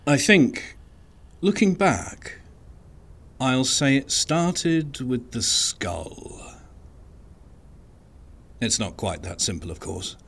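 An actor playing a reflective male archivist in an audio drama, musing and deliberate as he recounts a mystery. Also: mid-range voice, animated, creaky, a bit bright, husky, exaggerated voice. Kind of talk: audio fiction monologue.

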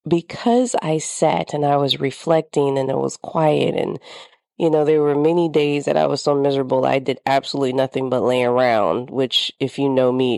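A woman's voice: low voice, creaky, a little mellow, no echo, studio quality. A woman podcast host with a slightly congested, nasal voice, talking candidly in a reflective personal monologue.

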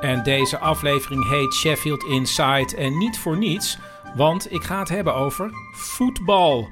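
A man's voice: a little high, a little slow, over loud music. A man hosting a podcast with informal scripted narration. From Netherlands. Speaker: podcast host.

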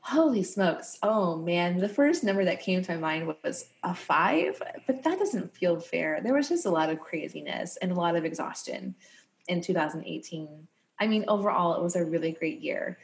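A woman's voice: fairly low pitch, animated, normal speed, creaky. A woman in her thirties co-hosting a podcast in casual chat, her voice scratchy and tired, talking in an upbeat, frank way. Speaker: podcast co-host.

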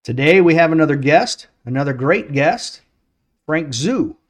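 A man with a moderately high-pitched voice hosts a podcast. He talks informally and jokes along in a loose, casual chat. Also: expressive, a little slow. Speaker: podcast host.

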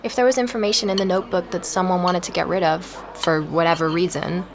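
A woman's voice: on the low side, steady tone, fast talker, a crowd in the background, natural voice. An actress playing a curious, polite woman investigator in a naturally spoken audio drama. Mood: curious, puzzled. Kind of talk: audio drama, intimate narration and natural dialogue.

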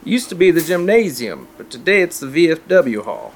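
Audio drama role: middle-aged small-town cook, folksy and helpful, slightly high voice with lively swings. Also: male voice, normal speed.

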